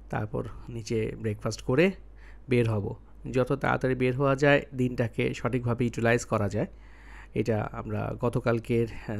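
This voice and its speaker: A man working as a travel vlogger, talking casually to viewers in a halting way, thinking aloud as he explains. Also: mid-range voice, vocal fry.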